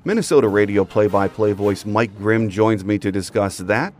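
A man's voice: on the low side, normal speed, slight background noise. A man hosting a sports podcast, delivering a scripted commentary monologue. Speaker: podcast host.

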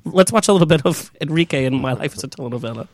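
A man's voice: a little high, expressive, rapid-fire. A male talk show host interviewing a guest, chatty and teasing, often laughing. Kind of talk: casual interview chat.

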